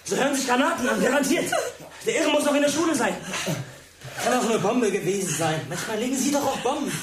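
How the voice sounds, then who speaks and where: An actor playing a jittery, shaken teenage schoolboy in a naturalistic radio drama, hesitant and halting. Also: male voice, very high-pitched, expressive, reverberant, background noise. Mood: agitated.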